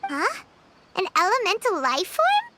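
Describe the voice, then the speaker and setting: Very high-pitched little fairy girl. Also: unhurried, a little hiss or hum, full character voice. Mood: puzzled. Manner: cutesy, expressive, exclaiming. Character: small, childlike, chirpy floating fairy girl companion, eager and kind. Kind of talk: animated video game voice acting.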